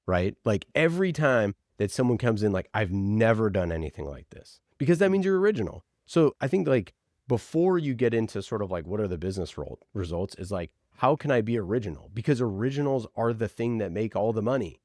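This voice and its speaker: A man's voice: lowish voice, lively intonation, fairly quick, a little mellow, dry recording, clean studio sound. A man speaking casually as an interview guest, making his points with emphatic, passionate conviction and rhetorical questions. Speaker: podcast producer and guest. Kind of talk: casual conversational interview talk.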